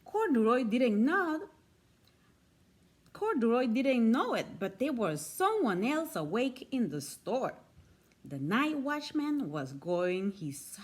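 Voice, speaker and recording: A woman narrating a children's storybook aloud in an expressive, deliberate way. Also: fairly high pitch. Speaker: storybook reader.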